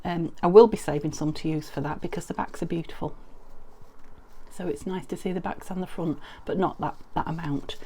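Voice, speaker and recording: A middle-aged woman from the UK with a croaky voice from a summer cold, chatting in a rambling, friendly way through a craft tutorial with many ums and restarts. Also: on the low side, steady tone, fairly quick, dark tone. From United Kingdom. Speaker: crafting vlogger.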